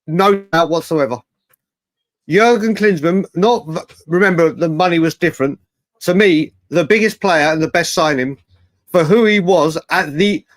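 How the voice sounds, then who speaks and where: English male football show host, fairly high voice, dry sound, matey and digressive live banter. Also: no room sound. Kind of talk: casual live fan debate.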